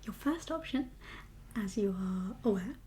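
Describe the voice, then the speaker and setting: A woman whispering an ASMR medical roleplay as a kindly doctor, gentle and reassuring in an unhurried, professional way. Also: mid-range voice, animated, a little hiss or hum. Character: kindly doctor for undead patients. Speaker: ASMR performer playing a doctor.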